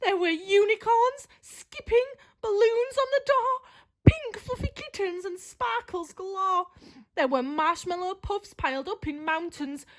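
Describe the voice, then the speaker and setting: A woman's voice: very high voice, measured pace. A woman reading a rhyming children's story aloud, expressively and with drama.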